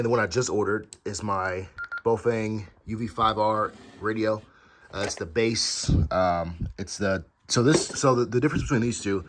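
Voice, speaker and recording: An American man with a fairly low voice talks casually as if showing things to viewers. He pauses mid-sentence and corrects himself. The homemade recording has faint noise and small clicks around the voice. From United States. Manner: halting, pausing mid-sentence, self-correcting. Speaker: hobbyist video host. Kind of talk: casual show-and-tell vlog. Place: indoor room at home. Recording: clearly noisy amateur home recording with clicks.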